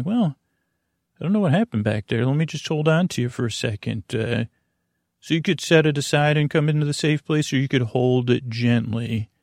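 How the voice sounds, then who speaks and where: A man hosting a bedtime podcast in a creaky, lulling voice, meandering gently through halting, self-interrupting tangents. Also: medium pitch, no echo, clean studio sound. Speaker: podcast host. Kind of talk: rambling, soothing bedtime monologue.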